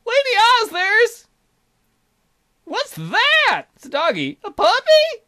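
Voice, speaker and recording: A man puts on a fussy little bird servant for a visual novel. The voice sits very high and swoops about, prim and formal, full of fawning devotion and ready indignation. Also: wildly swooping pitch, slow, bright, forceful tone, full character voice. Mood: gentle, concerned. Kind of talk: visual novel character voice-over.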